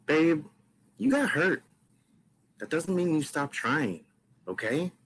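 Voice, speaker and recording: A man's voice: a little high, very animated, slow pace, a bit bright, a little hiss or hum, exaggerated voice. A man on a podcast table read voicing a confident young woman, a model and protective best friend, with a bossy manner. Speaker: podcast host reading a script.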